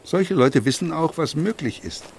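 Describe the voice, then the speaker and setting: An actor playing a principled, plain-spoken early twentieth-century industrialist in an audio documentary, deliberate, emphatic and didactic in delivery. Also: male voice, a little high, expressive, gravelly, darkish tone, husky, heavily acted. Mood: earnest, instructive. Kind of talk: acted quotation in an audio documentary.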